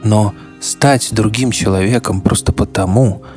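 Low-pitched male podcast host over music. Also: a little slow, a little mellow. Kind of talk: conversational scripted narration. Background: background music.

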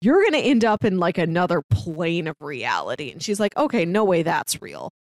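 A woman's voice: lowish voice, big pitch swings, normal speed, no echo, studio quality. A woman co-hosting a podcast, chatting casually as she gives recommendations and retells stories, acting out bits of imagined dialogue. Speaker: podcast co-host giving recommendations. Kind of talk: casual chat about films, books and audio dramas.

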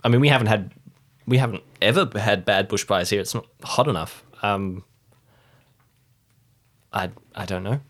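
A man's voice: on the low side, moderate pace, pauses often, a bit bright. A man voicing a flustered, uneasy, dismissive male local park ranger in a tabletop game.

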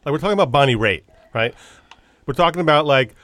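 A man's voice: mid-range voice, expressive, fast talker, a bit bright, dry recording. A man co-hosting a podcast with casual, playful, teasing banter. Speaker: podcast co-host.

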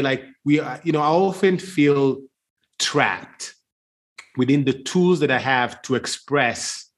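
Male, mid-range voice. Thinks aloud in conversation, circling and restarting as he searches for the point. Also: mid-range voice. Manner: searching, halting, circling around his point. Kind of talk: reflective conversation.

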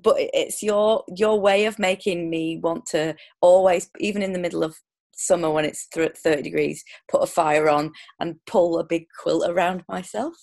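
A woman's voice: on the low side, fairly even intonation, normal speed, gravelly, darkish tone. A woman hosting a casual interview chat, talking in loose, rambling sentences full of restarts and ums. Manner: chatty, lots of restarts and ums. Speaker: podcast host.

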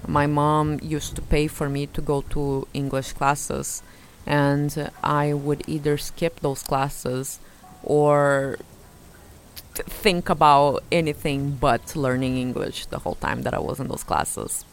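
A woman's voice: low voice, flat delivery, takes it slowly, slight background noise. A young Brazilian woman with a Brazilian accent tinged with Eastern European sounds, telling chaotic self-mocking stories in a casual vlog and laughing often. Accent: Brazilian Portuguese with Eastern European traces. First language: Portuguese. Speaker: vlogger. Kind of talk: casual storytelling monologue.

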